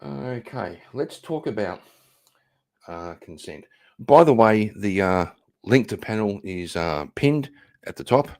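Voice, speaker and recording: Northern irish-born guy streaming, lowish voice, swears a lot, lots of ums. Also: lively intonation, slow, a little mellow. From Northern Ireland. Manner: blunt, profane, rambling with many fillers. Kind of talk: casual livestream chat.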